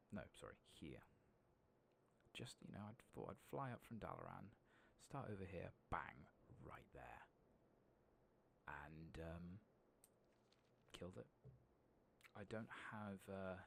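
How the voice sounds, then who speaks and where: A man with a very low, gravelly voice talks softly through a video game in an ASMR style. He is hushed and breathy, often drifting into whispers as he rambles gently along. There is clear background noise behind him. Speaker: gaming streamer. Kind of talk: soft-spoken ASMR game playthrough commentary, often dropping to a whisper.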